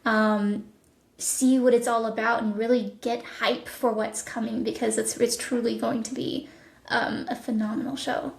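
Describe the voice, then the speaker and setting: A woman speaking as an interview guest and producer, pitching a project conversationally with enthusiasm and thoughtful pauses. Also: little pitch movement, vocal fry. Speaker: podcast guest, audio drama producer. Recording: echoey, roomy sound.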